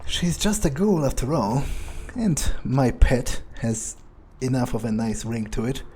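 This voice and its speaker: A man role-playing a callous vampire in a video game playthrough, thinking aloud in a casual, halting, sardonic way. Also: medium pitch, big pitch swings, a little mellow. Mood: idle, callous. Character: callous, musing male vampire player character. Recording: faint background noise.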